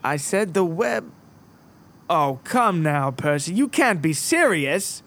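Genteel chummy friend, raised pitch, voiced by a male narrator. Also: very animated, slow pace, a bit bright, full character voice. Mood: genial. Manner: genteel, coaxing. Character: genial older man friend, genteel and chummy.

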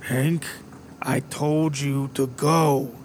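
A man's voice: medium pitch, steady tone, very slow, slight background noise. A man voicing a frightened, stammering old man who rambles and pleads. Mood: irritated.

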